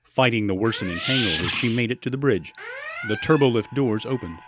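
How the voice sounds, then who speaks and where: A man's voice: mid-range voice, huge pitch swings, fairly quick, noisy background. A man narrating a scripted science fiction story in a dramatic storytelling style. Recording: loud music bed behind narration.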